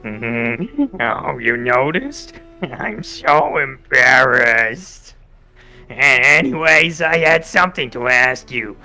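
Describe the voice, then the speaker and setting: A man voicing an awkward, bashful potato monster in a comic game, coy and halting as he pleads. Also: medium pitch, sing-song, pushed and bright, full character voice, low-quality recording. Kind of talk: comic visual novel voice acting.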